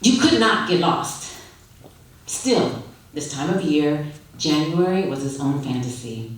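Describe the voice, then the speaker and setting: A woman's voice: low voice, animated. Female story reader for live literary event. Dramatic, leisurely delivery. Strongly echoing space, miked from a distance. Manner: unhurried, dramatic storytelling with pauses. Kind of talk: expressive literary reading to an audience. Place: live reading event, indoors. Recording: echoey room, distant microphone.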